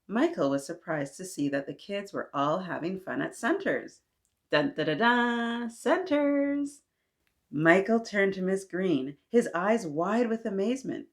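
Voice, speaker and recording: Female storytime host, slightly low voice, expressive storytelling with chatty asides for young children. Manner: animated asides to young listeners.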